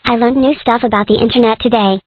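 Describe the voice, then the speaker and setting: Casting: a woman doing a high, naive little cartoon critter boy, eager, dry dull recording. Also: steady tone, fast, dry recording, lightly acted. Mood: innocent. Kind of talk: comic strip dub. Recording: dull lo-fi sound.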